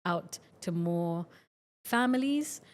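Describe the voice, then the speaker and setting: A woman in her forties from Singapore chats easily as a podcast guest, her voice sitting a little on the low side. She talks in a loose, conversational flow full of small fillers. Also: slow pace. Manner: chatty, relaxed, frequent fillers. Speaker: guest, functional medicine doctor. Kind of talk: casual interview talk.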